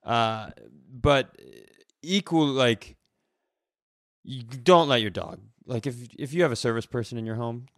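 A man's voice: mid-range voice, measured pace, fairly bright tone, dry recording, clean studio sound. A man co-hosting a comedy podcast in casual banter, exasperated and sweary, often repeating himself as he goes.

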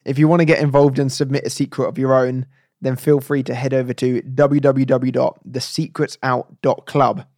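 Gravelly podcast host, dry close mic. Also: male voice, mid-range voice, little pitch movement, moderate pace, a little mellow, no echo. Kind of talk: casual podcast chat.

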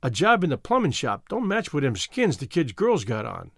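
A man's voice: fairly high pitch, wildly swooping pitch, normal speed, gravelly, dry recording, clean studio sound, full character voice. A man voicing a pale young street informer in a storybook, with slangy, casual talk. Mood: knowing.

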